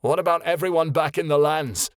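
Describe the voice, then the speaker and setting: A man's voice: a little high, brisk, dry recording, clean studio sound, pitched above the performer's own voice, lightly acted. A man voicing a middle-aged father who speaks sternly and sounds hurt. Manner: harsh then pleading.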